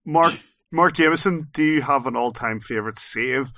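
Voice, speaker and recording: Gravelly, bright-voiced podcast host. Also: male voice, mid-range voice. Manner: brisk, reads out questions. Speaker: podcast co-host reading listener questions. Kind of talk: casual podcast chat.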